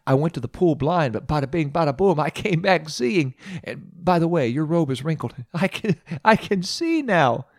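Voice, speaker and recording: Man playing an excited, cheeky healed beggar. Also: on the high side, measured pace, hardly any pauses, dry recording, studio quality, voice pushed up. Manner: breezy, wisecracking, excited. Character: formerly blind beggar, joyful cheeky man.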